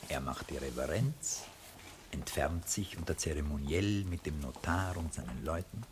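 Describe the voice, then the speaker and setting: A man calmly reading the stage directions of a radio play. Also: very deep voice, normal speed, background noise.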